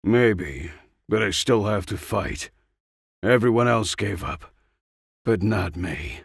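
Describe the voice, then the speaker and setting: A man playing a gruff, stubborn older male soldier with an insistent manner in an audiobook story. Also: lowish voice, slow pace, no echo, studio quality, exaggerated voice. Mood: defiant.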